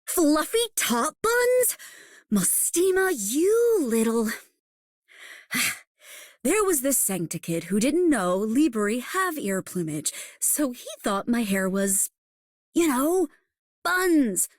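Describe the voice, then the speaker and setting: A woman's voice: on the high side, big pitch swings, slow, dry recording, studio quality. A woman voicing an exasperated, put-upon young game heroine, grumbling indignantly. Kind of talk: game character voice line.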